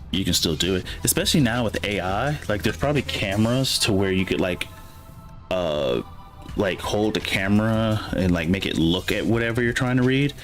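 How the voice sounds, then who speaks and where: A man with a light Alabama accent, chatting casually and rambling to his chat while playing a game. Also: on the low side. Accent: light Alabama Southern. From Alabama, USA. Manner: rambling, chatty, self-correcting, reads chat aloud. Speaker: gaming streamer.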